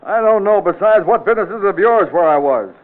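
An actor playing an irritable, evasive small-town gambling boss in a 1940s radio crime drama, curt and defensive. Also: male voice, sits high, expressive, brisk. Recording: tinny, band-limited old radio broadcast.

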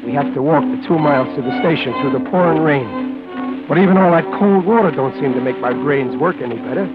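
Man acting nervous American wisecracker, 1940s radio crime drama, slightly high voice, stammering slang, loud music behind. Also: lively intonation, fairly quick, close to an everyday voice. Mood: wry, weary. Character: nervous ordinary wisecracking guy narrating his crime jam. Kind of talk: 1940s radio acting, hardboiled first-person narration. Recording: tinny old radio broadcast with loud music.

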